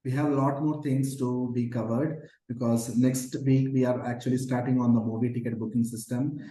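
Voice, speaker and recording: Man, programming instructor, hoarse voice, mellow tone, echoey room. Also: mid-range voice, little pitch movement, moderate pace. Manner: explains patiently with many "okay" and "uh" fillers. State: hoarse from shouting. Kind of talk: live online coding class. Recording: roomy echo.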